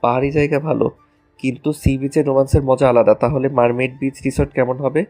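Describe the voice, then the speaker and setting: Audio romance role: a man as a tender, mischievous young husband, mid-pitched voice with music behind. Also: level tone, fairly quick, warm tone, close to an everyday voice. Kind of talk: romantic audio story acting.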